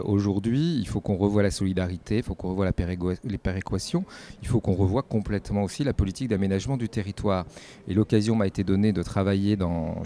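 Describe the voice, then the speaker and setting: A man giving measured, explanatory spontaneous answers as a local politician in an interview. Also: lowish voice, dark tone, a little hiss or hum. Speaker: mayor and head of a local authority, interviewee.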